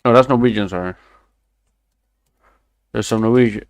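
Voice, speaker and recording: A man's voice: on the low side, normal speed, no echo. Rambling young streamer guy. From Denmark. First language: Danish. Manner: rambling, swears casually, self-deprecating. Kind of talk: casual stream chat.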